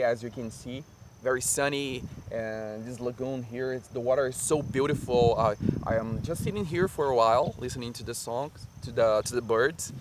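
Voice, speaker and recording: A Brazilian man whose first language is Portuguese, speaking English with a Brazilian accent in a relaxed, halting way with many fillers, recorded outdoors in a park. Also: mid-range voice. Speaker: vlogger. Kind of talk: casual chat to camera. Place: sunny park by a lagoon, outdoors. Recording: faint park and traffic noise outdoors.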